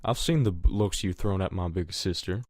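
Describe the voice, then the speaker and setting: A man's voice: sits low, moderate pace, darkish tone, no echo, studio quality. A man playing a stubborn, easygoing farm pony with a folksy American Southern drawl in a fan audio drama.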